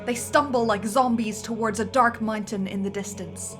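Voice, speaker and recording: A woman's voice: on the high side, steady tone, moderate pace. A woman narrating script directions in a dramatic reading, building up to the action beats, with music underneath.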